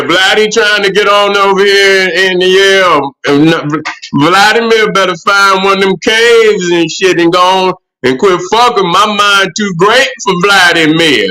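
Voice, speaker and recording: High bright-voiced profane livestream host. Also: male voice. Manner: profane, rambling, repeats "you know what I'm saying". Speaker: livestream host answering chat. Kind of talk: casual livestream commentary.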